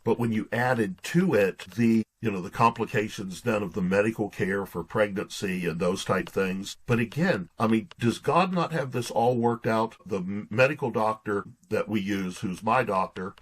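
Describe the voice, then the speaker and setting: Guy with a lowish raspy voice, author guest on an interview, talks casual and restarts a lot. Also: moderate pace, hardly any pauses. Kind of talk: conversational interview answers.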